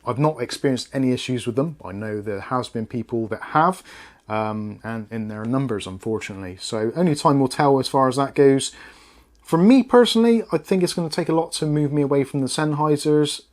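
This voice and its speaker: A man with a British accent talks through a product review in a casual, conversational style. His voice sits at a middling pitch. He is frank and measured, as a vlogger speaking to viewers. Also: darkish tone. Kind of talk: casual spoken tech review.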